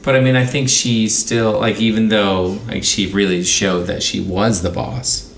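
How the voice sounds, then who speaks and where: A woman's voice: a bass voice, steady tone, a little slow, vocal fry. A woman co-hosting a podcast in casual conversation. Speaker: podcast co-host. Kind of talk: casual chat. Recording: roomy echo, faint background noise.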